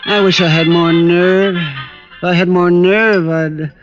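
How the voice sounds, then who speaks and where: An actor playing a mean, whining, cowardly informer who grumbles in tough slang in an old radio detective drama. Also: male voice, sits high, fairly even intonation, slow. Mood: sullen. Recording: dull old radio recording with music.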